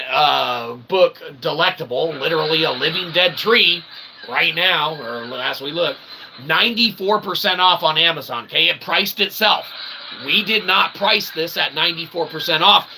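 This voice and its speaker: A man's voice: on the high side, normal speed, vocal fry, pushed and bright, a little hiss or hum, low-quality recording. A man delivering a casual, indignant rant to viewers as an independent author and host, emphatic and sweary, with wordy mock-formal phrasing and repeated tag questions. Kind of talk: casual ranting monologue to viewers.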